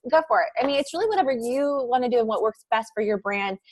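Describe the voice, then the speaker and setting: A woman guest giving advice on a video call, animated and acting out little quotes. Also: a little high. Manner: quick, animated, acts out quotes. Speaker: guest, podcaster and blogger.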